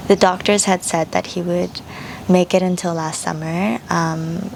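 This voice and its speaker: A young woman from Japan, speaking in a soft, reflective way with frequent pauses and fillers. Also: fairly low pitch, steady tone, gravelly, slight background noise. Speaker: vlogger. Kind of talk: reflective personal voice-over.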